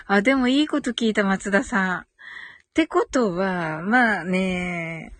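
A woman's voice: medium pitch, slow pace, no echo. A woman hosting a casual live audio stream, giggly and thinking aloud as she reacts to listener comments.

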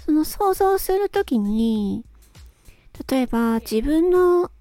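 A woman with a fairly high, soft-toned voice talks to her listeners in an unhurried, reflective monologue. She is polite and gentle, often hesitating as she thinks things through. Music plays behind her. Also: very animated, no room sound. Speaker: solo host, amateur voice actress. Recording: close dry recording with background music. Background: background music.

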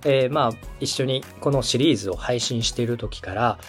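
A man's voice: medium pitch, brisk, soft background music. A man hosting and advising in a polite, encouraging way, explaining things step by step in a conversational coaching style. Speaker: podcast host and production adviser.

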